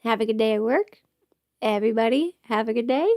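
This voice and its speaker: A woman's voice: fairly high pitch, fairly quick, creaky, darkish tone, no room sound, studio quality. A woman who is sick with a cold, chatting casually on a livestream in a self-deprecating, playfully sarcastic way. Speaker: audio script performer hosting a livestream. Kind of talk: casual rambling livestream chat.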